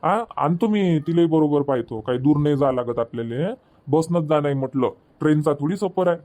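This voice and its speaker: Mellow, higher-voiced village farmer father played by a man. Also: animated, fairly quick, close to an everyday voice. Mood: agreeable, helpful. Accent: Vidarbha Marathi. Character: easygoing, obliging older village farmer father. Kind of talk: homemade comedy cartoon dubbing.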